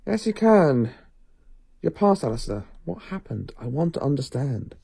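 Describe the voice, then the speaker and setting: Actor as commanding professor, bright reverberant voice. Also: male voice, on the high side, very animated, normal speed, vocal fry. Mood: reassuring. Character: commanding, clever professor, weary rescuer of others. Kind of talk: audio drama acting. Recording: long reverb with music behind. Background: music.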